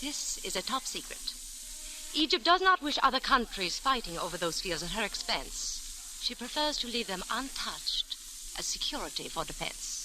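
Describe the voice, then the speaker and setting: An actress playing an alluring, commanding ringleader of foreign intrigue in old radio drama, poised and formal. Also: female voice, on the high side, big pitch swings, moderate pace, low-quality recording. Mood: coolly businesslike. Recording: hissy old-time radio recording.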